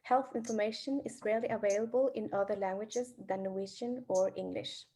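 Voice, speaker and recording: A young woman from Vietnam, presenting her research in a careful, measured way. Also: medium pitch, fairly even intonation, warm tone. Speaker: student presenter.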